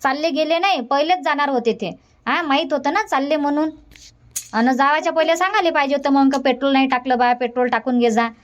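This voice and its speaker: A woman's voice: high-pitched, quick pace, muffled recording, close to an everyday voice. A woman voicing a middle-aged village aunt with a Vidarbha Marathi accent, who lectures and scolds in long run-on stretches. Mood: scolding.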